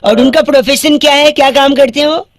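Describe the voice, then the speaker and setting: A cheeky young prank caller, played by a man, ribs strangers with puns and mock seriousness. The voice sits at an ordinary pitch and sounds dry and close to the mic. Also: fairly even intonation, brisk, no echo. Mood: teasing. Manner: teasing, playful, wordplay jokes. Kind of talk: radio prank call.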